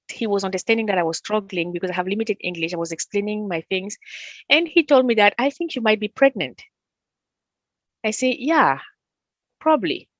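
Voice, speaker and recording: A middle-aged woman from Cameroon with a Cameroonian French accent, a radio interview guest telling her story with animated quoted voices. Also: mid-range voice, no room sound. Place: radio studio.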